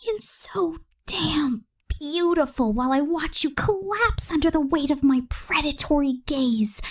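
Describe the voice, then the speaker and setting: A woman voicing a cruel, possessive killer robot captor in a menacing, taunting ASMR roleplay, mostly in a breathy whisper. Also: high-pitched. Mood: gloating menace. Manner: menacing, taunting, slow and deliberate.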